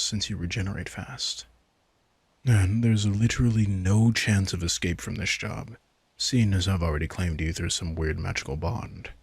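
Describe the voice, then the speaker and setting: A man playing a low, husky, smug vampire king. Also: darkish tone, dry recording. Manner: laid-back, wry, teasing, informal swearing. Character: sardonic, casual young-sounding male vampire king, smug and manipulative. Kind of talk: intimate audio roleplay, addressing the listener.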